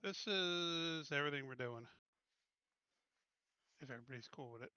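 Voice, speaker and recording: Higher-pitched man, bright and casual. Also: normal speed, clear, resonant tone, dry recording, highs cut off. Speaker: tabletop game player. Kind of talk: casual game-table chat.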